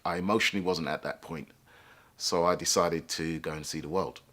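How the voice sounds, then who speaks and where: A man with a deep, low-pitched voice tells his own life story. He speaks reflectively and thoughtfully, often pausing to find the right words. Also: fairly even intonation, a bit bright. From England, London. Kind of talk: personal life story told to camera.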